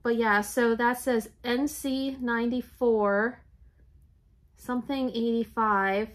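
A chatty, enthusiastic woman, talking straight to her desk camera in a home craft room. Her voice sits a touch high, and it sounds a little raspy and congested from allergies, with the odd throat clearing. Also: fairly even intonation, takes it slowly. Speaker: reseller vlogger. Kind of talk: casual shop-with-me haul chat.

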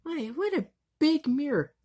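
A woman's voice: high-pitched, big pitch swings, measured pace, warm tone, pitched above the performer's own voice. A woman voicing a vain, hot-tempered rival sorceress in an amateur anime fandub, haughty and theatrical.

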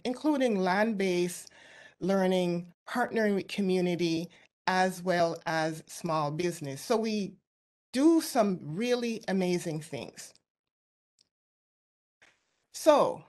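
A middle-aged woman delivers a keynote over a video call, her mid-range voice earnest and measured. She talks from an office through a laptop webcam, now and then restarting a phrase. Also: mid-range voice, darkish tone. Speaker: keynote speaker. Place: office with window behind.

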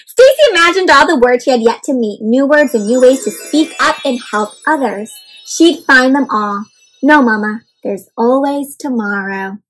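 A young woman with a high, slightly breathy voice reads a picture book to children, full of expression. She keeps stopping to ask her listeners questions. Soft music plays faintly under her voice. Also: normal speed, poor audio. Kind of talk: interactive picture-book read-aloud. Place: indoors in front of a leafy backdrop.

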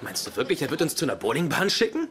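A man's voice: fairly high pitch, wildly swooping pitch, racing, a bit bright. An actor playing a nerdy, mildly indignant young male academic in a German sitcom dub. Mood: explaining. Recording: noisy TV sitcom audio.

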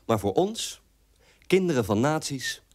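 Bitter wounded grown son, measured monologue, mid-pitched voice, radio drama. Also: male voice, sing-song.

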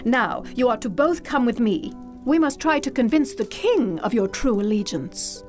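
A woman's voice: fairly high pitch, expressive, normal speed, a little mellow, soft background music, close to an everyday voice. A woman playing a spirited young noblewoman. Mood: firm. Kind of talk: video game cutscene acting.

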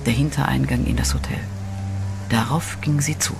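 A man reading scripted horror narration in a measured, dramatic way. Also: medium pitch, fairly even intonation, vocal fry. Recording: music bed under voice.

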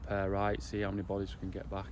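A man in his forties, coaching a football side, answers questions beside the pitch after a match. His voice sits low and flat, and he talks haltingly with lots of restarts and fillers. Clear outdoor noise hums behind him. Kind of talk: post-match interview.

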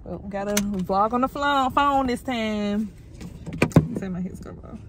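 A young woman, chatting loudly and playfully in slang with a friend while vlogging from a car and then a farm on a handheld phone. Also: medium pitch, normal speed. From Southern US. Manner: loud, playful banter in slang. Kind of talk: casual chat. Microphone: phone held at arm's length. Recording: noisy outdoor phone recording.

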